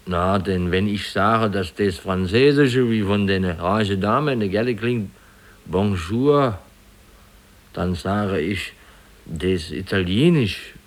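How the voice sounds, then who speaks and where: A simple small-town gatekeeper from an old radio play, speaking low and slowly. He muses hesitantly in a folksy, unsure way. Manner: hesitant, folksy, drawn-out musing. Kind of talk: 1950s German radio play acting.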